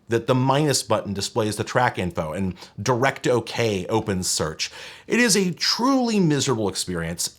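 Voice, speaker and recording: A man presenting an explainer video in an emphatic, wry, opinionated way, as if talking a viewer through a gadget review. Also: mid-range voice. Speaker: presenter of a retro tech review channel. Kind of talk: semi-scripted explainer video narration.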